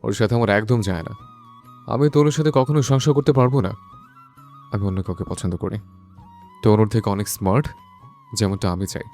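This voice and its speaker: A man's voice: lowish voice, normal speed, pauses often, mellow, faint music behind, close to an everyday voice. A man playing a brooding, lovestruck young son in an audio love story, earnest and insistent, mixing in English words. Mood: fed up, insistent.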